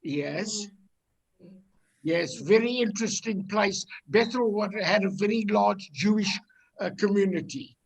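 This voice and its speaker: A man in his eighties with a South African accent, reminiscing casually in a halting way and repeating himself. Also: high voice, creaky, poor audio. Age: 80s. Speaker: audience member on a virtual tour.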